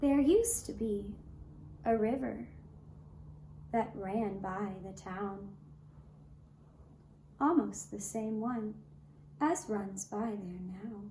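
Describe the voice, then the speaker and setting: A woman narrating a folk tale as a puppet show storyteller, with measured, deliberate phrasing. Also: medium pitch, warm tone. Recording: roomy echo, faint background noise.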